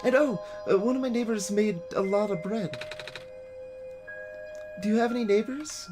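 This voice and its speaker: A woman's voice: medium pitch, moderate pace, music in the background, close to an everyday voice. A woman voicing an innocent, chatty child in a video game, asking things in an earnest, inquisitive way. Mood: eager, curious. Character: innocent, chatty child who dreams of pancakes. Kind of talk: voiced video game dialogue.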